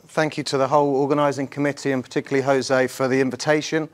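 A middle-aged man with a slightly husky voice, giving an academic conference lecture in an informal, lightly self-deprecating manner. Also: medium pitch, flat delivery, quick pace. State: slightly husky from the night before. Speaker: university professor, conference speaker. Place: large lecture theatre, on stage before an audience.

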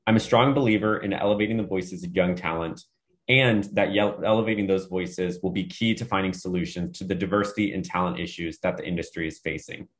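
A man moderating a panel over a video call, introducing speakers in a brisk, earnest way. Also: deep voice, fairly even intonation. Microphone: webcam video call.

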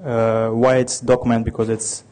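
A man's voice: medium pitch, completely flat delivery, normal speed, a little mellow. A man in his thirties from Ukraine with a Russian accent, giving a technical conference talk with many restarts and fillers. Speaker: conference speaker.

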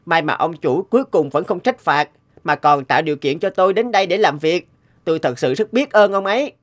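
A man's voice: a little high, animated, fairly quick, close to an everyday voice. An actor playing a humble, earnest sixteen-year-old enslaved boy inventor in a cartoon, polite and sincere. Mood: grateful. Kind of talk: cartoon dubbing.

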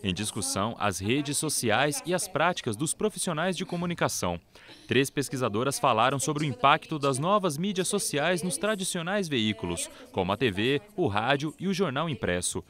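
A man's voice: medium pitch, big pitch swings, normal speed, fairly bright tone. A man with a Brazilian accent reading scripted news narration as a TV reporter.

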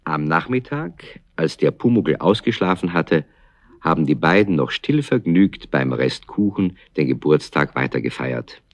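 Deep-voiced, expressive male storyteller. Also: measured pace, no room sound. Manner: wry, confiding. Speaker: narrator. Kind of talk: scripted storytelling for children.

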